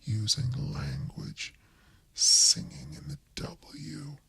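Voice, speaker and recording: A man reading a literary play in a breathy, husky ASMR whisper, slowly and expressively. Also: fairly low pitch, dry recording. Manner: slow, deliberate, expressive. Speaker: reader of a radio play.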